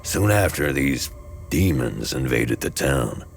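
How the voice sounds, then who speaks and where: A man voicing a wary, haunted town mechanic in a dramatic video game, blunt and halting in delivery. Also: a bass voice, expressive, gravelly, faint music behind, full character voice. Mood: grim, shaken. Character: wary, haunted male town mechanic in a war-torn land. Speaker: town mechanic and scrapyard owner.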